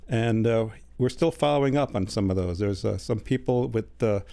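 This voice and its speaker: A man in his sixties, a studio interview guest, answering in a measured way with many hesitant fillers. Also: fairly low pitch, gravelly, dark tone, clear, resonant tone. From United States.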